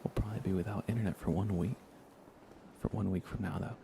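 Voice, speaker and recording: A man softly giving casual ASMR commentary as he livestreams a game, often slipping into a near-whisper. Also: low voice, steady tone, quick pace, creaky, background noise. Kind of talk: soft ASMR gaming commentary, casual chat.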